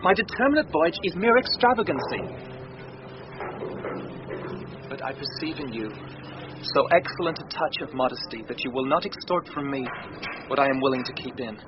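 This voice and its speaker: An actor playing a courteous, grieving young gentleman in a classical Shakespearean radio play, with formal, earnest delivery. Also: mid-range voice, expressive, normal speed, long pauses, noisy background, lightly acted. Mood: melancholy. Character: courteous, melancholy young gentleman grieving his drowned sister. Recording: muffled radio drama recording.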